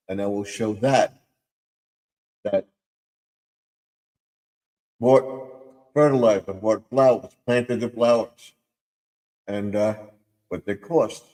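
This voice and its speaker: Male stream host, scratchy throat, unhurried rambling chat, long reverb tail. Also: medium pitch, darkish tone. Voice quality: rambling, self-interrupting delivery. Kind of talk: casual live stream chat. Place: home room.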